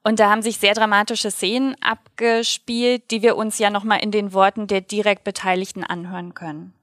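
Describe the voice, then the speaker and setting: A woman hosting a conversation, setting up clips and asking calm, measured questions. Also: mid-range voice. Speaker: podcast host. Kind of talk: interview questions.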